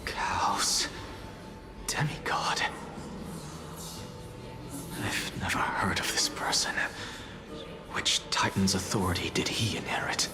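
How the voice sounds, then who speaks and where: A whispery, low-voiced weary young adventurer. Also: male voice, slow, long pauses, music in the background. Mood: hushed musing. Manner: hushed, musing to himself. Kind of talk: video game voice acting.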